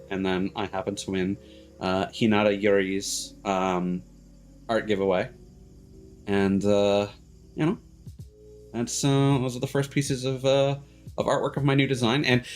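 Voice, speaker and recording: A man hosting a livestream in casual chat, rambling and haltingly backtracking mid-sentence. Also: on the low side. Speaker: streamer host. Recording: music playing behind the voice. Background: background music.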